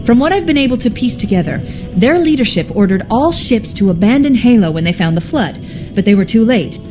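A woman voicing a confident, sardonic AI companion in a video game, brisk, assertive and articulate. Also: on the high side, lively intonation, music in the background, close to an everyday voice. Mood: briefing. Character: sharp-witted female AI companion, confident and sardonic. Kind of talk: video game cutscene acting.

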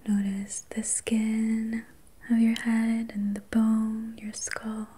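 A woman whispering softly and breathily as an ASMR host, guiding relaxation in a soothing, gentle, unhurried manner. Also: on the high side, monotone, slightly rough. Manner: soothing, slow, gentle personal attention. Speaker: ASMR host and reiki practitioner.

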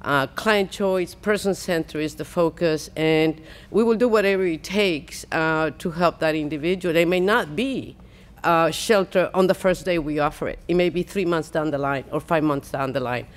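A woman, a county health official, giving press conference remarks in a deliberate way with many pauses and fillers, at a podium mic in a large hall. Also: low voice.

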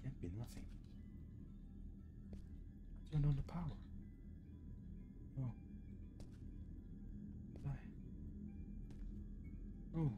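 A man's voice: medium pitch, extremely slow, pauses often, muffled recording, over music. A young adult man giving casual, muttered video game commentary indoors, frustrated and swearing freely. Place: indoor room at home.

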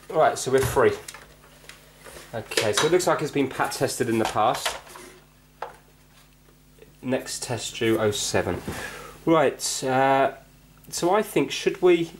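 A man with a mid-pitched voice talks casually through a repair, thinking aloud and asking as he goes. Faint noise and small clicks sit behind him in the room. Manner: thinking aloud, checking with questions. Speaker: amateur repair video host. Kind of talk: casual hands-on repair commentary. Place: indoors on a wooden floor. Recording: clicky, noisy room recording.

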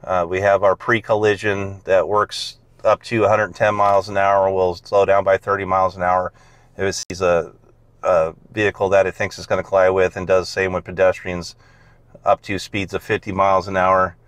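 A man's voice: low-pitched, little pitch movement, moderate pace, a bit bright, dry recording. A man, a car salesman, casually walking through a car's features on a dealer lot and explaining them step by step with plenty of fillers.

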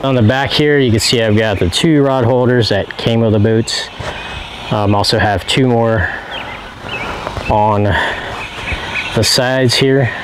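Man, thirties, casual vlog walkthrough, relaxed and chatty, handheld camera outdoors, faint ambient noise. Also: medium pitch, slow pace, long pauses. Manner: relaxed, talks to viewers with fillers while pointing things out. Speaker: channel host. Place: outdoors in a driveway beside a boat on a trailer. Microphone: handheld camera carried around, earlier on a tripod.

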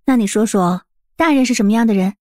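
A woman playing a confident, curious young mistress of a household in an audiobook drama, composed and gently teasing. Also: a little high, no echo, clean studio sound. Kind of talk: Chinese audiobook drama acting.

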